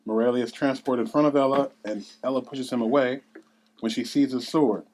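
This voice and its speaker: A man's voice: mid-range voice, steady tone, fairly quick, darkish tone, slight background noise, rough recording. A man with a mid-range voice reads stage directions aloud. He delivers scene headings and action as scripted narration at a table read.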